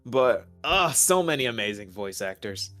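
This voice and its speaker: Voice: medium pitch, big pitch swings, normal speed, a bit bright, soft background music. A guest commentator in a casual fan chat, appreciative and giggly.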